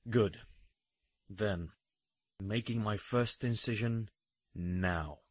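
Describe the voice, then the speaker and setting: An actor playing a curt, businesslike doctor in a horror audio drama. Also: male voice, lowish voice, drawn-out words, no room sound. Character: businesslike male doctor leading an experiment.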